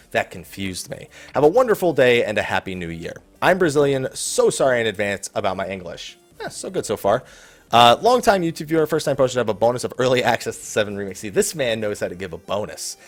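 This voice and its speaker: A man speaks as the host of an informal gaming Q&A, with music playing faintly behind him. His pitch sits in an average range but moves around a lot, giving an animated sound. He talks off the cuff, rambling conversationally. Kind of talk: casual unscripted Q&A commentary, reading out viewer questions. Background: faint background music.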